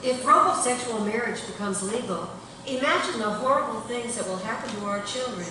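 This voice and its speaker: A woman's voice: a little high, animated, fairly quick, close to an everyday voice. A woman playing a mock-serious, presentational female TV figure at a live cartoon table read. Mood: worried. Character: polished female news anchor or worried ad mother. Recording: echoey hall, distant, noisy crowd.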